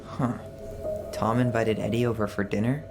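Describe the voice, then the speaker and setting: A man's voice: fairly low pitch, steady tone, moderate pace, vocal fry. An actor playing a sardonic, determined and impatient young man in naturalistic video game dialogue. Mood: puzzled, intrigued. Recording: music behind the voice.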